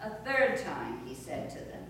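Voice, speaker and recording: A woman narrating a scripture reading during a church service in a measured, formal way. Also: sits low, sing-song, over a noisy crowd. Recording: echoey church, distant mic, background noise.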